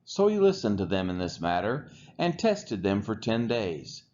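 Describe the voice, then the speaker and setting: A man reading the Bible aloud from the text in a measured, deliberate way, phrase by phrase. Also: on the low side, very animated, a little mellow, highs cut off, a little hiss or hum. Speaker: scripture reader.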